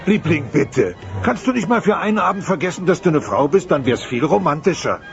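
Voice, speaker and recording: A man's voice: a little high, expressive, a little fast, creaky. In a children's audio drama, a man voices a blunt, bumbling middle-aged dinosaur father with a clumsy, blustering manner. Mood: dismissive. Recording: dull cassette sound, music behind.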